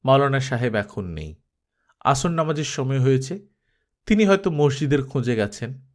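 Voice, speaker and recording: Bengali male storyteller with lively intonation. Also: mid-range voice, normal speed. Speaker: audiobook narrator. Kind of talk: scripted story narration.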